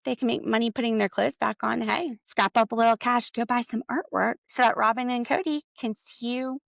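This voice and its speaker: Gravelly-voiced woman recapping with jokey asides. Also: fairly even intonation, a little fast, dry recording. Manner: chatty, jokey, sarcastic asides; wide swings in pitch and pace. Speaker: podcast host. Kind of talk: solo recap commentary.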